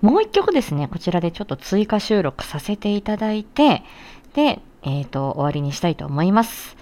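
A woman's voice: medium pitch, sing-song, brisk, a little mellow. A woman hosting a casual audio live stream, chatty and self-deprecating in a giggly way. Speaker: host of an audio live stream, amateur singer.

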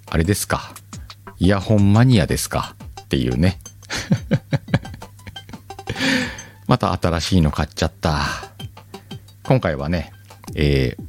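A man with a Kansai accent hosting a podcast, chatting casually and directly to his listeners in a relaxed, self-deprecating way. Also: deep voice. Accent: Kansai Japanese. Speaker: podcast host. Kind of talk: casual solo chat. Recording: faint background music.

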